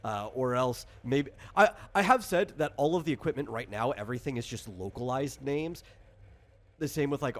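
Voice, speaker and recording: A man hosting and explaining a game in casual chat, thinking aloud in a rambling way. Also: mid-range voice. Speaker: game designer and host.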